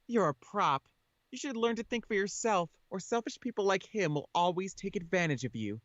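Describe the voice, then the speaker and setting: A man voicing a haughty, sharp-tongued schoolgirl with a cutting, formal, condescending way of talking in a visual novel. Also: high-pitched, expressive, moderate pace, dull audio, voice pushed up. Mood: cold, indignant.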